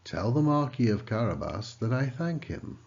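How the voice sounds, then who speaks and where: A man's voice: medium pitch, big pitch swings, moderate pace, vocal fry, darkish tone, lo-fi sound, voice pushed up. A man voicing a pompous but gracious king in a children's storybook, with grand, emphatic delivery. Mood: grandly pleased. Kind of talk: children's storybook character reading.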